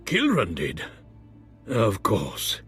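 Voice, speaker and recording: A man playing a wounded defecting military general, weary and fatalistic, with a husky, strained voice in a science fiction game. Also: lowish voice, wildly swooping pitch, very slow, a bit bright, soft background music, heavily acted. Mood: bitter resignation.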